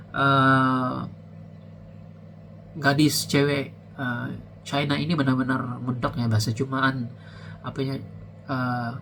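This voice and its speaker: A man's voice: medium pitch, a bit bright, a little hiss or hum. A man with a Malaysian accent, hosting a casual reaction video with a chatty, hesitant manner and many fillers. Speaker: reaction channel host.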